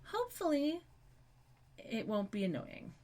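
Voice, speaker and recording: Woman podcast co-host, slightly raspy from a lingering cough, chatty scene-by-scene recapper. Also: high-pitched, sing-song, a little slow, mellow. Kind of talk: casual recap chat.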